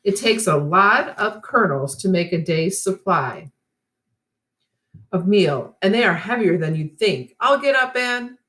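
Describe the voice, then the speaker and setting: A woman reads the lines of an ailing, stubborn pioneer father in a storybook. He sounds worried and protesting, with lively movement in pitch. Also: unhurried.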